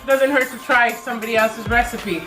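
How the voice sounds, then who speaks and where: A woman's voice: a little high, brisk. A woman in her thirties with a stuffy, congested voice, casually talking through a recipe step by step in her home kitchen. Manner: relaxed, chatty step-by-step explaining. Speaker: home cook filming a cooking vlog. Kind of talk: casual cooking narration. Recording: echoey kitchen with music underneath.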